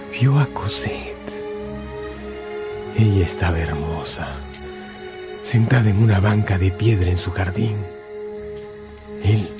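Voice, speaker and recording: Low-pitched male dramatic narrator. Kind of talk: dramatic scripted radio narration. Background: music.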